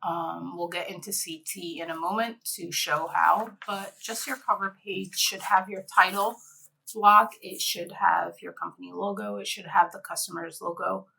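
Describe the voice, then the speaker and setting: A woman's voice: fairly low pitch, fairly even intonation, normal speed, gravelly, bright, forceful tone, slight background noise, rough recording. A middle-aged woman from Egypt, an interior designer presenting a conference session in a conversational way with frequent fillers.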